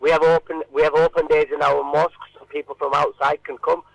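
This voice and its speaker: A man answering informally in a radio interview, halting and often repeating his words. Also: fairly high pitch, little pitch movement, vocal fry, lo-fi sound.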